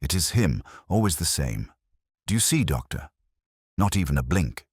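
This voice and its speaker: Male narrator as nervous Victorian messenger: deep voice, fretful, shaken, animated pitch swings, storybook drama. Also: normal speed, breathy edge, no room sound, clean studio sound, full character voice. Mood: fearful. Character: anxious, shaken Victorian man bringing bad news.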